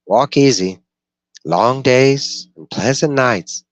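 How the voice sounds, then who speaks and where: A man voicing an unperturbed, scrawny desert dweller in a storybook, plainspoken and calmly probing. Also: mid-range voice, slow pace, no echo, lo-fi sound, voice pushed up. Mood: matter-of-fact. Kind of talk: storybook character dialogue.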